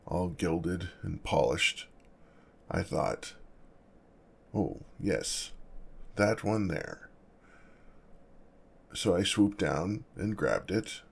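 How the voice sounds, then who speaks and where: A man playing an awkward, apologetic, gentle male dragon in an ASMR roleplay, with a gravelly, husky voice and a halting, flustered manner. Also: low voice, exaggerated voice. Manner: halting, flustered, self-correcting, measured.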